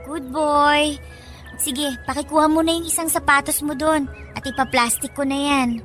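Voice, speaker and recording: A woman playing an anxious, gentle young mother in a Tagalog radio drama, speaking in a soothing, coaxing, emotional way. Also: sits high, steady tone, normal speed, faint music behind. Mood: coaxing, worried.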